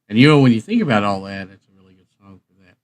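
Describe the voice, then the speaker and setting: A man's voice: lowish voice, big pitch swings, fast talker, a little hiss or hum. A man hosting a live stream, chatting casually to viewers in a rambling, folksy way.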